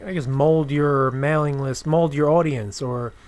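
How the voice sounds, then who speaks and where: A man hosting a podcast in casual conversation, rephrasing haltingly as he goes. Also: a little high, fairly even intonation, darkish tone. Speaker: podcast host. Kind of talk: casual interview chat.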